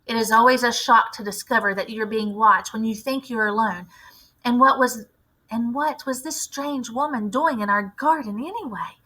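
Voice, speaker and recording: Bright, higher-voiced woman reading a story. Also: normal speed, rough recording. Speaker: teacher reading aloud. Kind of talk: expressive storybook narration.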